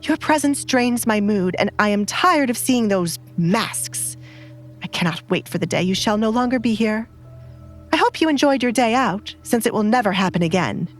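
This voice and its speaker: A woman's voice: mid-range voice, expressive, moderate pace, lightly acted. Woman acting a middle-aged noble stepmother, haughty, cruel, cutting scolding, audio drama, music behind. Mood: cold contempt.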